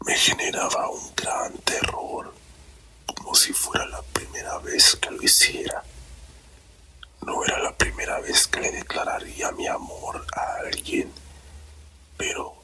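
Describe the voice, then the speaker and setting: A man softly whispering a romantic story in a slow, deliberate ASMR narration, close to the microphone. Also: rough recording. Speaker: storyteller reading own written story.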